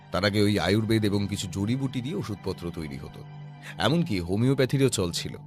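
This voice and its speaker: An actor playing a calm, observant detective who explains things in a measured, self-assured way in an audio drama. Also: male voice, lowish voice. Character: calm, observant male detective, patiently explaining his deductions.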